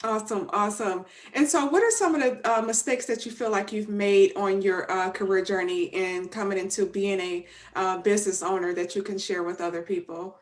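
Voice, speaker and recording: A woman's voice: mid-range voice, steady tone, moderate pace, few pauses. A woman hosts a career podcast interview. She sounds upbeat and encouraging as she asks her questions. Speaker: podcast host.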